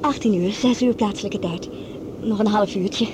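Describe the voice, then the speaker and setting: A woman's voice: normal speed, natural voice. A woman playing a courteous, inquisitive air stewardess in a radio play, speaking somewhat hesitantly. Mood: polite. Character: polite, curious young female air stewardess. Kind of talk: Dutch radio play acting. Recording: radio drama with loud cabin rumble.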